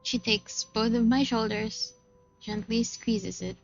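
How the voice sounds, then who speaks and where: A woman with a fairly high voice reads visual novel narration aloud as a game streamer, mixing in casual reactions. The audio is slightly dull. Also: fairly even intonation, slow pace, vocal fry, faint music behind. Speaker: gaming streamer reading aloud.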